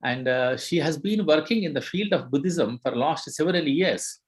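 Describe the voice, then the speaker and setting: A man's voice: fairly high pitch, expressive, normal speed. A man moderating an online conference, introducing a speaker hesitantly with many fillers and repeated words.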